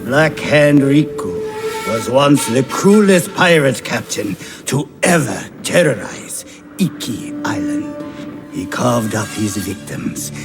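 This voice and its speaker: An actor playing a grim, menacing storyteller who narrates gruesome legends in an ominous, drawn-out manner for a video game. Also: male voice, mid-range voice, expressive. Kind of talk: scripted dramatic narration. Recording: music behind the voice.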